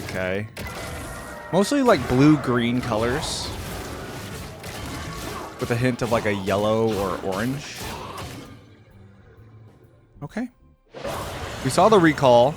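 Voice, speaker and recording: A man hosting a casual reaction video, chatting excitedly and thinking aloud with lots of fillers. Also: medium pitch.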